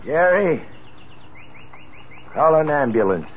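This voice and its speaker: An actor playing an anxious, deferential stable hand in a radio drama, pleading and agitated. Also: male voice, a little high, sing-song, takes it slowly, very noisy. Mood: frantic. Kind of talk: 1970s radio drama acting. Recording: dull old radio recording, loud music.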